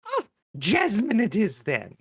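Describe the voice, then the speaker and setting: Woman playing slow, fussy old lady. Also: medium pitch, wildly swooping pitch, breathy edge, no room sound, clean studio sound, full character voice. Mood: fussy, contrary. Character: half-blind, doting elderly former actress, cheerfully contrary. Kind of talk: screenplay table read.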